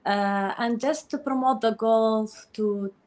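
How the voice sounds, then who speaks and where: A woman from Qatar with a Gulf Arabic accent, answering informally as a guest in halting, non-native phrasing. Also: fairly high pitch, fairly even intonation, a little mellow. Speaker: guest, golfer.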